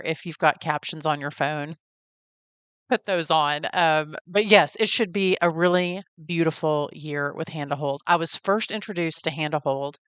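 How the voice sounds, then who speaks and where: A woman's voice: sits low, fairly bright tone, clear, resonant tone, no room sound. A woman in her forties with a Texan accent, hosting a podcast and telling her own story in a friendly, folksy, heartfelt way. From Texas. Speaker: podcast host. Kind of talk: personal storytelling.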